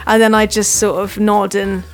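A woman's voice: mid-range voice, level tone, normal speed. An English woman co-hosting a radio show, chatting playfully and giggling often. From England. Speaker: radio co-host. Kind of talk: casual chat.

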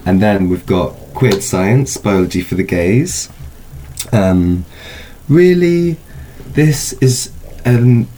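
A man's voice: lowish voice, animated, takes it slowly, mellow. A man speaking as a festival guest, explaining an event informally in hesitant, thoughtful phrases. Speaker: film festival spokesperson, guest.

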